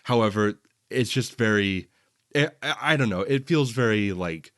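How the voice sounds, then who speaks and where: A man's voice: fairly low pitch, lively intonation, normal speed, dry recording, studio quality. A man hosting a film review podcast, talking casually and giving hedged personal opinions in a conversational way. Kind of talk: casual movie discussion.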